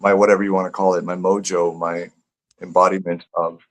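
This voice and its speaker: A man's voice: low voice, little pitch movement, brisk. A man speaking as a guest author in a casual live interview, reflective and rambling, repeating phrases as he thinks aloud.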